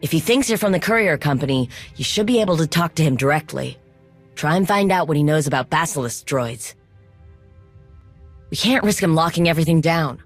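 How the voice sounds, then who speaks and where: A woman's voice: sits low, expressive, fairly quick, faint music behind. A woman playing an earnest, anxious conspirator in a video game, speaking in an urgent, conversational way. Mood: focused, urgent. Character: earnest, anxious conspirator planning a risky con. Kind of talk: video game cutscene acting.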